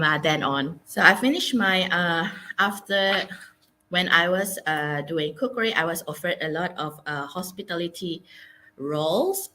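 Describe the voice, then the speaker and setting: A woman's voice: deep voice, fairly bright tone, slight background noise. A woman in her forties from Malaysia, telling her career story as a guest, with frequent ums and uhs. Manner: hesitant, many ums and uhs. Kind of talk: casual interview storytelling. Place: Melbourne.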